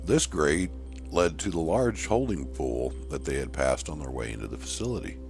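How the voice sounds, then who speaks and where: Deep-voiced man narrating a horror story. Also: animated, normal speed, faint music behind. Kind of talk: scripted audiobook narration.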